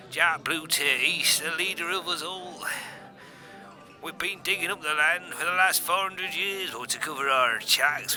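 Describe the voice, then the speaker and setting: A man's voice: on the high side, pushed and bright. A man playing a nervous, deferential pirate crewman confessing in a radio comedy. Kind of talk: radio comedy drama parody.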